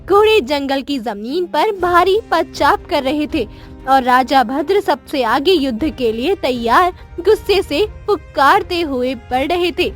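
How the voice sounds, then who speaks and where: A woman's voice: sits high, expressive, takes it slowly, soft background music. A woman narrating a scripted children's moral story as its storyteller.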